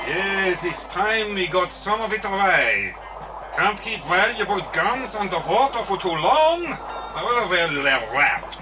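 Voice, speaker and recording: An actor playing a cold, menacing boss of gun smugglers in a children's adventure drama, speaking slowly and deliberately. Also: male voice, high-pitched, sing-song, background noise. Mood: cold menace. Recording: distant cassette recording with music.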